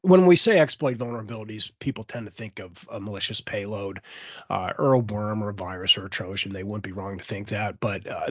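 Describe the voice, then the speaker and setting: A man presenting a cybersecurity webinar, a little hoarse from a cold, explaining with frequent fillers and restarts. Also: lowish voice, fairly bright tone, no room sound.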